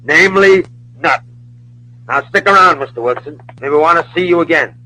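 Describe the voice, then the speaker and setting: An actor playing a belligerent, bullying police lieutenant who talks in blunt slang, in an old radio drama. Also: male voice, on the high side, brisk, pushed and bright, a little hiss or hum, rough recording. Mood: impatient, sarcastic. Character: gruff, hot-headed, bullying police lieutenant. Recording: old radio broadcast recording.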